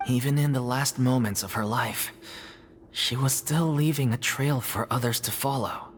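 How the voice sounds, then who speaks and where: A man's voice: medium pitch, normal speed, natural voice. A man voicing a sincere, reverent member of a theatre troupe in a video game.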